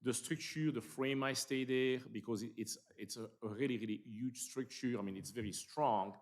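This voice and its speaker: A man from Belgium with a Belgian accent, a business owner presenting his plans at a town planning meeting, halting and repeating words. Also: mid-range voice. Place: council meeting room.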